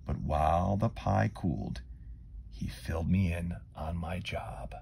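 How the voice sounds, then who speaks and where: A man reading a children's storybook aloud in an animated, expressive way, with dramatic emphasis and hushed asides. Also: low-pitched, a little slow, creaky, a little hiss or hum. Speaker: storybook reader.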